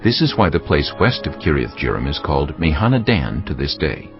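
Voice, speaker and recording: A man's voice: a bass voice, sing-song, a little fast, dull audio. A man narrating scripture as a dramatised audiobook in a solemn storytelling manner. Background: music.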